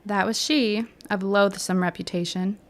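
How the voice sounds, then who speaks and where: A woman's voice: mid-range voice, steady tone, a little slow, natural voice. An actress playing an inquisitive teenage girl sleuth in a period audio drama, precise and a little mock-formal. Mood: curious, arch.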